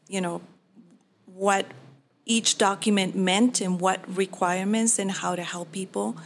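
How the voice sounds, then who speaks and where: A woman's voice: mid-range voice, a little hiss or hum. A woman, giving earnest, reflective interview answers with frequent restarts. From Peru. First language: Spanish. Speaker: mortgage professional.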